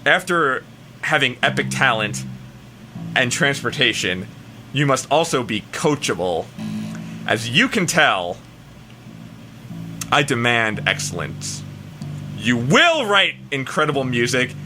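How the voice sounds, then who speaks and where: A man's voice: mid-range voice, sing-song, slow, pauses often, over music. A man voicing a pompous, demanding rock singer in a grandiose, emphatic comic dramatic reading. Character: pompous, demanding, self-important male rock singer writing an ad.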